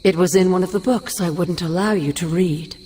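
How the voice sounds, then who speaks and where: A woman playing a formal, measured ghostly mentor. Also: lowish voice, dark tone, slight background noise, lightly acted. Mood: solemn. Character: ghost of a stern, caring older female mentor. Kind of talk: video game cutscene acting.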